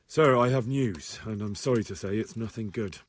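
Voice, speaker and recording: An actor playing an earnest, respectful young peasant hero who goes undercover as a spy in a video game. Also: male voice, lowish voice, moderate pace, natural voice. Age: young adult.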